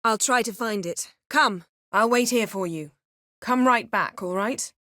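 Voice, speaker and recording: An actress voicing a proud, sharp-tongued sorceress in a fantasy video game, with a crisp, imperious, dryly sardonic delivery. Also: female voice, on the high side, unhurried, dry recording, studio quality. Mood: brisk, insistent.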